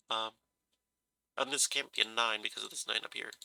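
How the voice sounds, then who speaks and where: Stuffy, gravelly man thinking aloud. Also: on the low side, fairly even intonation, normal speed, pushed and bright, dry recording. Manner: hesitant, musing, self-correcting. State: stuffy nose, slightly scratchy voice from a cold. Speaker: puzzle solver. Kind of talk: casual thinking-aloud puzzle solving.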